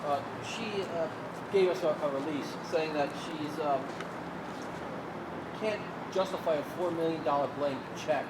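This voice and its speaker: Echoey, higher-voiced male reporter amid loud traffic. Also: measured pace. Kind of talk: press conference questioning. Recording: echoey, distant, loud outdoor traffic noise. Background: loud street and vehicle noise.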